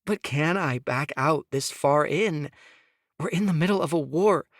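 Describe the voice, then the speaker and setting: A man's voice: on the high side, unhurried, no echo, clean studio sound, pitched above the performer's own voice. A frightened young mother pleading through tears, as a male narrator puts her on. The voice is lifted well above the reader's own and pushed hard with strain. It is a storybook figure, anxious and despairing.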